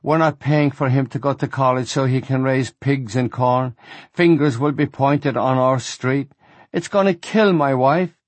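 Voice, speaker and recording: A man's voice: medium pitch, unhurried, no room sound, voice pushed up, lightly acted. A man voicing a distraught older rabbi with a German accent, wailing in exasperation over his son. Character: distraught older male rabbi, anguished father.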